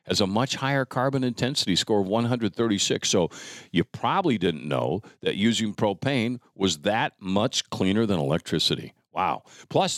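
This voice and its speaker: A man's voice: on the low side, no room sound, studio quality. A man hosting an interview show in a chatty, emphatic way, speaking directly to the listener. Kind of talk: casual hosting with conversational sponsor reads.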